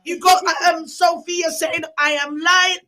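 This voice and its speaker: A woman hosting live charity radio, excitable and loud with praise, her voice a little hoarse. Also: very high voice, a little slow, heavy background noise. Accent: British. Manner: excitable, shouty praise, laughing. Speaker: radio host.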